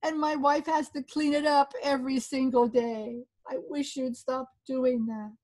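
A woman's voice: sits high, level tone, unhurried, darkish tone, pitched above the performer's own voice, poor audio. A woman voicing a tiny male fairy in a storybook, pleading and politely complaining. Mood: aggrieved.

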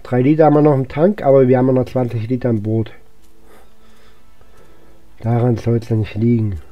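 A man's voice: mid-range voice, little pitch movement, moderate pace, dull audio, faint music behind. A man giving casual let's-play commentary as a gaming host, unhurriedly thinking aloud to himself.

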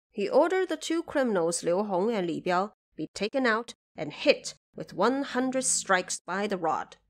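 Female narrator, mid-pitched voice, scripted dramatic storytelling for a classic adventure audio drama.

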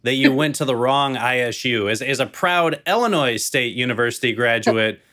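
A man's voice: medium pitch, moderate pace, bright, forceful tone. A man in his thirties hosting a casual farm podcast panel over a video call, upbeat and joking. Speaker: podcast host. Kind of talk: casual hosted panel chat. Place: home office, indoors. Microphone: video call, webcam mic.

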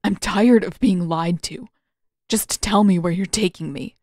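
A woman's voice: mid-range voice, unhurried, darkish tone, no room sound, natural voice. A woman voicing a worried, bewildered teenage prince with hesitant questions in an audiobook. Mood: tense, demanding.

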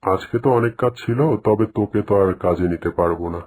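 Cartoon tiger employer, male actor: stern and dismissive, lowish mellow voice. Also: quick pace. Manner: blunt, dismissive. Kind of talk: cartoon dialogue.

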